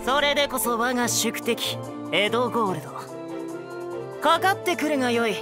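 A woman's voice: on the high side, animated, normal speed, lightly acted. A woman plays a small boy as an imperious demon lord in a comedy anime. The boyish voice swings dramatically, with grand, archaic menace. Music sits behind the words. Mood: menacing, haughty. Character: imperious demon king, as played by little boy. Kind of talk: Japanese voice-anime comedy acting.

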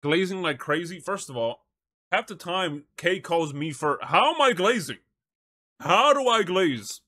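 A man's voice: sits high, animated, unhurried, pushed and bright. A man streaming live, chatting casually and slangily with his viewers and reading things aloud into a close microphone. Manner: slangy, says bro and chat often. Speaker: live streamer reacting to a video and talking to chat.

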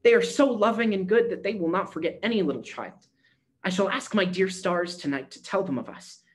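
A man reading a storybook aloud, voicing a cheerful, eager poor little girl with a bright, pleading tone. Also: sits high, a little fast, pitched above the performer's own voice. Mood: bright hopeful. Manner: bright, excited, breathless pleading.

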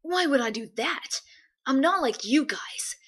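A woman's voice: high-pitched, expressive, unhurried, a bit bright. A woman voicing an earnest, defiant young character for an animation.

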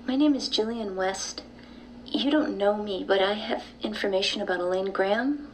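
Measured, mysterious reclusive woman played by an actress. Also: mid-range voice, slight background noise, lightly acted, rough recording. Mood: secretive, insistent. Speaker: actor in an audio drama. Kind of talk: naturalistic audio drama acting.

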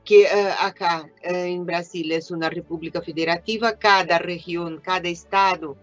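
Older Brazilian woman, Portuguese accent, halting conference talk over a webcam, muffled lo-fi audio with faint music under it. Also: fairly low pitch, fairly even intonation. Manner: hesitant, many pauses and fillers, mixes Portuguese words. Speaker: invited speaker, educator. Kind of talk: conference presentation. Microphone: webcam on video call. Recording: dull lo-fi video call audio.

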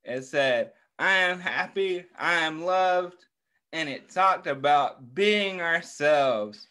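A man's voice: a little high, expressive, takes it slowly, pushed and bright, smooth. A man in his thirties talking to young children as a story time host, in an encouraging, simple and repetitive way on a video call. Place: small furnished room at home, indoors. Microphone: computer mic on a video call.

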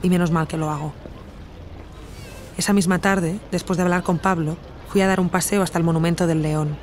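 An actress with a Spanish accent from Spain playing an earnest, reflective woman investigator who narrates her audio documentary in a measured, intimate way. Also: fairly low pitch, fairly even intonation, darkish tone, a little hiss or hum, natural voice. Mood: reflective, absorbed. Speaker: podcast narrator and protagonist. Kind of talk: intimate scripted narration and natural dialogue in an audio drama.

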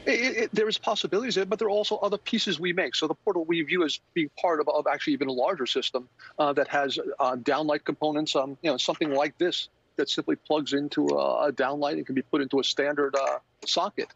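A man's voice: fairly high pitch, fairly even intonation, quick pace, vocal fry, fairly bright tone, husky. A man in his fifties, a company founder, explaining his technology in a remote news interview, hesitant with many fillers and repeated words. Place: office with plants. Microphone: video call. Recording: dull lo-fi video call audio.

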